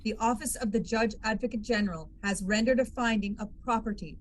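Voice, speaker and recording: A woman reading the part of a formal, even-handed military judge in a sci-fi courtroom table read. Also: on the high side, brisk, slight background noise, natural voice, rough recording.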